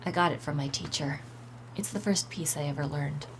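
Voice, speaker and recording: A low, frank young woman. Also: normal speed, slight background noise, low-quality recording. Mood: nostalgic. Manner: guarded, candid, low-key. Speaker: suspect questioned in a mystery. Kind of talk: video game voice acting. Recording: game audio with music underneath.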